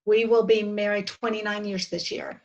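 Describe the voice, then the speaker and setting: A woman of about fifty with an American accent, talking earnestly and haltingly as a guest in an interview, often restarting her sentences. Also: medium pitch, little pitch movement, muffled recording. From southern United States. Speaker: podcast guest. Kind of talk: reflective interview conversation.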